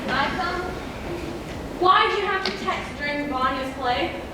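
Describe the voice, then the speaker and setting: A woman's voice: sits high, a little slow. A young woman playing a grand, imperious, self-pitying jilted woman in a school stage comedy, with a theatrical delivery. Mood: indignant, jealous. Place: stage in a hall, far microphone. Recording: distant echoey stage recording, noisy.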